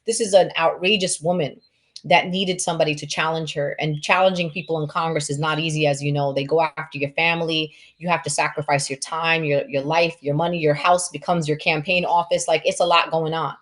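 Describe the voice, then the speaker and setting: A woman's voice: sits low, steady tone, brisk. A woman hosting a political event, speaking off the cuff in an impassioned, emphatic way and repeating words to press her point. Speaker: host and moderator introducing panelists.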